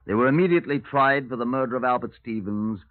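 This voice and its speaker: An actor playing a stern, formal police detective in a 1950s radio drama. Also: male voice, medium pitch, fast talker, natural voice. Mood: grave, factual. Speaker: narrator and detective. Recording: tinny narrow-band 1950s radio.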